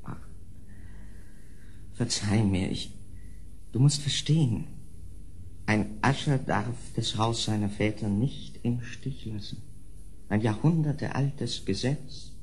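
An actor playing a tormented, melancholy last heir of a decaying noble house in a horror audio drama, agitated and theatrical. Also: male voice, fairly low pitch, slow, long pauses. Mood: mournful, weary. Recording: faint noise on old audio drama.

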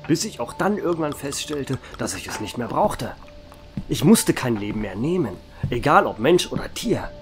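A man playing a centuries-old vampire who runs a bar, telling his story in a calm, measured way. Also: mid-range voice. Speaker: narrator and bar owner. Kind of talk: fan audio drama narration. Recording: music bed under the voice.

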